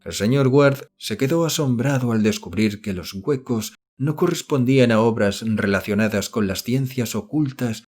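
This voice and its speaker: A man's voice: medium pitch, normal speed, hardly any pauses, darkish tone. A man reading a horror novel aloud as an audiobook narrator, in scripted literary narration.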